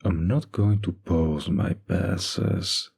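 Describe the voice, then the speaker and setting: A man playing a flirty, menacing, dominant mafia boss in an ASMR roleplay, with a husky, gravelly voice and a slow, teasing drawl. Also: sits low, fairly even intonation, darkish tone, exaggerated voice. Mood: teasing, seductive.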